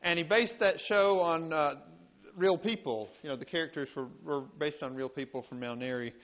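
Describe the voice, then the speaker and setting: Southern American man telling stories, dull recording. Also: fairly high pitch. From rural North Carolina, United States. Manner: folksy, halting with repeated words. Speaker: invited panel speaker. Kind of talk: storytelling talk to an audience. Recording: dull, band-limited live talk recording.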